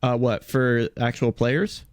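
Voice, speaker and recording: A man's voice: mid-range voice, fairly even intonation, slow pace, no echo, studio quality. A man chatting casually as a contributor on a podcast. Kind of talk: casual chat.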